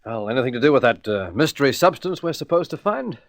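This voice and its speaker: Lively, affable space hero. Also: male voice, medium pitch, fast talker, no room sound. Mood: earnest questioning. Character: conscientious, good-natured male space adventurer hero. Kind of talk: vintage science-fiction radio serial acting.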